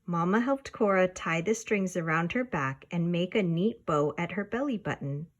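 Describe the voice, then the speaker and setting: A woman reading a children's picture book aloud in an expressive, deliberate storytelling manner. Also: fairly low pitch, muffled recording. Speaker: storybook reader.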